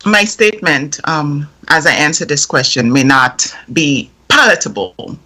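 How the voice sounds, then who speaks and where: A woman, French her first language, answers a committee in a direct, deliberate way. Her voice is bright and forceful. She speaks with composure and measured emphasis. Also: low voice, animated. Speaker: invited expert testifying. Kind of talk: formal testimony.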